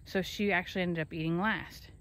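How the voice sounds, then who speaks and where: A woman's voice: on the low side, fairly even intonation, a little fast, fairly bright tone. A woman filming on a phone in an open pasture, chatting to her farm animals and scolding them fondly in a casual way. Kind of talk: casual off-camera narration. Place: outdoor pasture.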